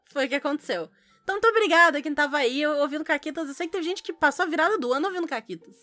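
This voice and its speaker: High-voiced woman podcast host, dry mic. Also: animated, very fast, bright, forceful tone, no room sound, soft background music. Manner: playful, swears, mock outrage at listeners. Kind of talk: casual podcast chat.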